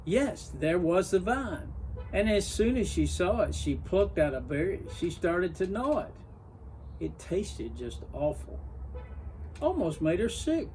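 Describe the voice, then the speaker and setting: A man reading a children's story aloud in an expressive storybook narration style. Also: sits high, slight background noise, low-quality recording. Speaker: story reader.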